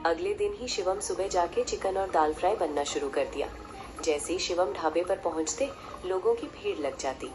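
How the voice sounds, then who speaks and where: A woman narrates a scripted cartoon tale as storyteller. Her voice is on the low side, with music clearly playing behind her. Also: moderate pace.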